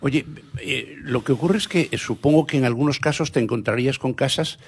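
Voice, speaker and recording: A man with a husky, slightly breathy voice leads a relaxed radio interview. He asks friendly questions and keeps his guest going with short encouraging replies. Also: mid-range voice, a little fast. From Galicia.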